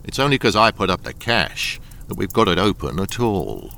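An actor playing a cold, domineering smuggling boss in a radio drama, coolly commanding and deliberate. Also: male voice, deep voice, very animated, creaky, bright, forceful tone, heavily acted. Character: cold, domineering smuggling boss, old mine owner's son.

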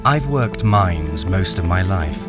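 A man's voice: low-pitched, expressive, takes it slowly, over loud music. A man playing a wary, experienced miner, acting and narrating a radio crime thriller in a guarded, brooding way. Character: wary, experienced male miner, blackmailed, narrating his ordeal.

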